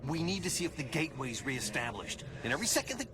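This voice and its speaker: An actor playing a brisk, scientific male superhero in a video game, urgent and clipped, giving terse instructions. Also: a little high, very animated, noisy background. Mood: focused, terse. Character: brisk, scientific, anxious futuristic male superhero, commanding and dry. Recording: loud music behind the voice.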